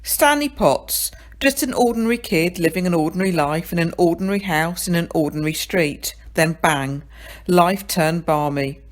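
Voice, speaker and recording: A woman with a slightly low voice reads a children's story. She narrates dramatically, speaking straight to the listener as she goes. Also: moderate pace. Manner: dramatic, addressing the listener directly. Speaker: story reader.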